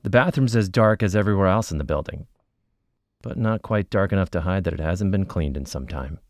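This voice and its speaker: An actor playing a wry paranormal investigator, giving measured first-person narration in a scripted podcast. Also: male voice, low voice.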